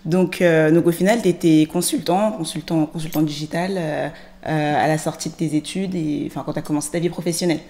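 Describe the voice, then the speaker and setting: A woman's voice: deep voice, fairly even intonation, brisk, warm tone. Young woman asking curious questions. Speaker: guest interviewer. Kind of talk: conversational interview. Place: studio set with plants and glass-block wall. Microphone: studio mic on stand.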